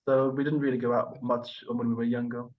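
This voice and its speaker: A man in his thirties from Libya answers exam questions at an even pitch. He weighs his words, restarts sentences and fills pauses with ums. The audio is dull and lo-fi, like a video call. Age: 30s. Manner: hesitant, thoughtful, many fillers and restarts. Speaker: IELTS test candidate and channel host. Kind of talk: mock speaking exam over video call.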